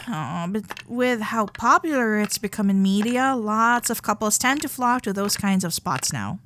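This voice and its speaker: A woman voicing a sweet, playful fox-spirit girlfriend in an ASMR roleplay, coy and affectionate, with soft hesitations. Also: mid-range voice, expressive, unhurried, slight background noise. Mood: warm, chatty. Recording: festival crowd and fireworks sound effects.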